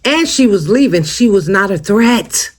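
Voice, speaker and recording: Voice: medium pitch, animated, a little slow, slight background noise. An American vlogger giving casual, opinionated commentary straight to viewers, emphatic and conversational, often posing rhetorical questions. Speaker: host of a true-crime commentary channel.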